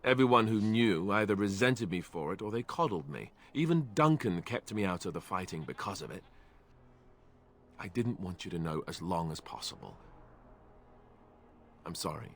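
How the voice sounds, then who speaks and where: Low-voiced, sheepish young warrior man. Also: lively intonation, moderate pace, long pauses. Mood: sheepish, hesitant. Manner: hesitant, sheepish, wry. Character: awkward young warrior, secret royal bastard, self-deprecating. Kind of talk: video game voice acting.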